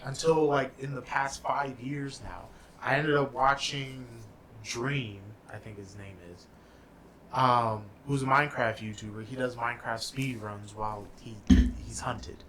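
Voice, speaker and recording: A man's voice: mid-range voice, fairly even intonation, measured pace, a bit bright, a little hiss or hum, low-quality recording. A young man co-hosting a casual chat, explaining things thoughtfully with trailing fillers. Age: young adult. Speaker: podcast co-host. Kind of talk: casual chat about games and movies.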